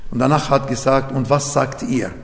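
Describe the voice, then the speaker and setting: A man's voice: medium pitch, fairly even intonation, normal speed, gravelly. A man whose first language is Arabic, preaching a sermon in German with grammar slips and many rhetorical questions, recorded in a church hall. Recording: echoey hall with long reverb.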